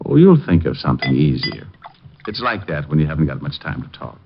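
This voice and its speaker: Old radio detective, american, deadpan wisecracks, lively low voice, muffled noisy recording. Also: male voice, a little fast, vocal fry, faint music behind, heavily acted. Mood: flirty, teasing. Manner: glib, sardonic, deadpan wisecracks. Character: wisecracking, flirtatious, skeptical male private detective. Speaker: lead actor in a detective series. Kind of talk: 1940s radio detective drama acting. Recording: dull, noisy vintage radio recording with clicks.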